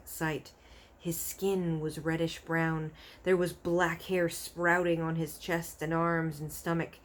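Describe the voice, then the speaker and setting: A woman narrating a children's story aloud in an expressive read-aloud style. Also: on the low side. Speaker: storybook reader.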